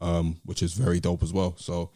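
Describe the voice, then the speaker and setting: A man's voice: sits low, completely flat delivery, moderate pace, mellow, dry recording, clean studio sound. A man with a British London accent, hosting a podcast and casually discussing music with friends. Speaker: podcast host.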